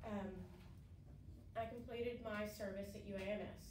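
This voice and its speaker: A young woman presents a class speech, halting and filling pauses with ums. She is a bit under the weather, so her voice sits lower than usual. The sound is picked up from the back of a classroom, roomy and hissy. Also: fairly even intonation. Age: young adult. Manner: hesitant, many ums and restarts. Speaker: student giving a class speech. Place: classroom with conference tables, indoors. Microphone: far camera at the back of the room. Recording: roomy, distant camera audio with background hiss.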